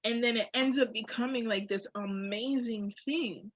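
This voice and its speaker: A woman's voice: on the high side, normal speed, no echo, highs cut off. A woman in casual, candid conversation, speaking in an informal, reflective and emphatic way. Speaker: podcast co-host. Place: phone call.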